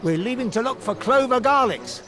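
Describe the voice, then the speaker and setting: A man's voice: very high-pitched, animated, moderate pace. A man voicing a shrewd, confident little warrior hero in a cartoon game, speaking politely and articulately. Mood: polite, resolute. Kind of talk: animated cartoon game voice acting.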